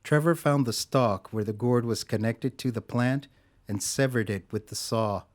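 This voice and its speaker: A man narrating an audio drama in a measured, deliberate storytelling style. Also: mid-range voice.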